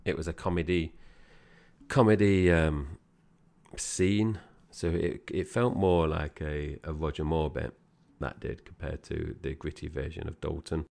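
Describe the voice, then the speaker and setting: Brief: male podcast co-host, very low gravelly voice, casual rambling film chat. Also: fairly even intonation, a little slow, darkish tone. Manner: chatty, meandering with fillers and restarts.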